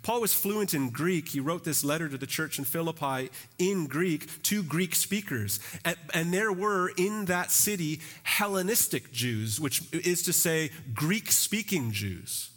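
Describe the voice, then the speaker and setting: A male pastor delivering a sermon in a relaxed, conversational way, animated and using casual phrasing. His voice sits a bit higher than most men's. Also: unhurried, few pauses. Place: church.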